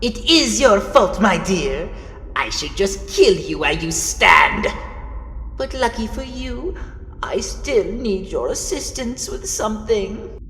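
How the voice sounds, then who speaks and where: A woman's voice: medium pitch, expressive, takes it slowly, fairly bright tone, slight background noise. A woman voicing a haughty, gloating male emperor of fire, a menacing and theatrical villain in a fantasy cartoon. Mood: cold menace. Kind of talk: animated fantasy villain acting. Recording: echoey hall with long reverb.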